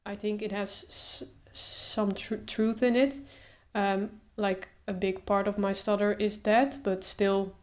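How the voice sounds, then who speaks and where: A young woman talking casually to camera, stuttering openly with sound repetitions and pauses, then easing into a relaxed, slow delivery. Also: mid-range voice. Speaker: video creator.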